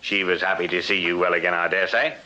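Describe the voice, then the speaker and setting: Commanding Victorian police sergeant, forceful edge. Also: male voice, low voice, steady tone, quick pace, muffled recording. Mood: firm, probing. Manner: authoritative, formal, insistent. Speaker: actor in detective radio drama. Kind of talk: full-cast radio drama acting.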